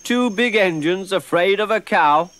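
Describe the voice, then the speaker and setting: A man voicing a kindly old male steam engine in a storybook, gently teasing. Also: sits high, a little slow, background noise, voice pushed up. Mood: amused.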